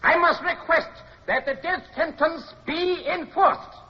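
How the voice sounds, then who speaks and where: An actor playing a coldly zealous military prosecutor in a radio drama, formal, declamatory and insistent. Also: male voice, extremely high pitch, measured pace. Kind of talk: mid-century radio drama acting. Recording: muffled old radio recording.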